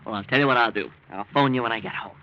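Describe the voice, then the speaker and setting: A man's voice: medium pitch, racing, lightly acted. An actor in a 1940s radio drama playing a tipsy, breezy, rich young man who rattles easily. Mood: tipsy, cheerful. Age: young adult. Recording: dull, noisy old-time radio recording.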